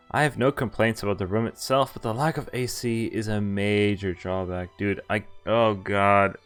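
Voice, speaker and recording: A young man with a fairly low voice streams a visual novel. He reads the story text aloud, then drifts into loose, joking asides with some hesitation. Game music plays faintly behind him. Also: steady tone, unhurried, smooth. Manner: casual, jokey, hesitant asides. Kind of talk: casual live stream commentary mixed with read-aloud narration.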